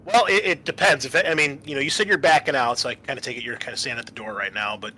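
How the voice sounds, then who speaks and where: Bright-voiced man running a tabletop game. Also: medium pitch, slight background noise. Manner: halting, pausing while describing scenes. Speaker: game master.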